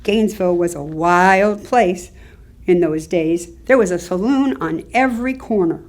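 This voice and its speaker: An actress playing an elderly small-town Southern woman, giving wry, measured recollections in a staged reading. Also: on the low side, darkish tone, natural voice. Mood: wry reminiscing. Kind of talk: staged reading of oral history monologue.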